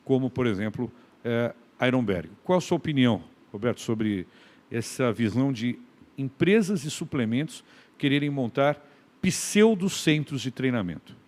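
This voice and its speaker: A man's voice: medium pitch, expressive, moderate pace, a little mellow. A man hosting a casual podcast interview.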